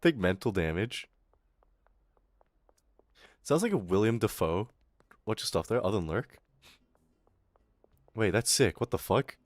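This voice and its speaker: Animated Australian man ranting on stream. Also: on the low side, a little slow, long pauses, no room sound. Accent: Australian with some American. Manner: animated, swearing, mocking voices. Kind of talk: casual ranting stream chat.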